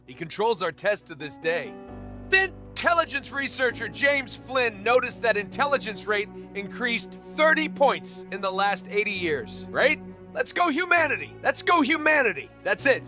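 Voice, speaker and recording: Bright, high-voiced jokey male narrator. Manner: playful, jokey, talks straight to the viewer. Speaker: explainer video narrator. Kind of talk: comic voice-over narration.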